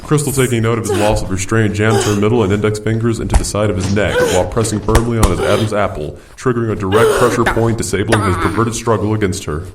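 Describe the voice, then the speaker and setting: Low-voiced male narrator, distant mic. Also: steady tone, fast, few pauses. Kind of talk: scripted narration of an amateur audio drama. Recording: distant mic with clicky noise.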